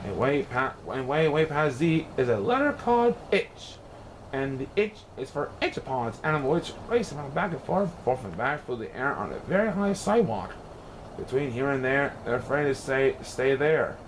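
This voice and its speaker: A man reading a rhyming children's story aloud in an expressive, playful, sing-song way. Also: mid-range voice, moderate pace, a little hiss or hum. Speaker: picture book reader.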